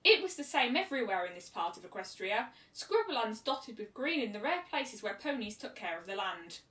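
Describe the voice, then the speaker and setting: A woman's voice: mid-range voice, very animated, normal speed, fairly bright tone, reverberant, recorded from a distance. A woman reading a piece of fan fiction aloud as a scripted story narration.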